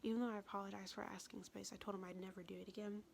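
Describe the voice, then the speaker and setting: Young woman whispering her storytime vlog, kinda raspy fry, little bit of background hiss. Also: medium pitch, little pitch movement, rapid-fire. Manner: soft unvoiced whisper, confessional.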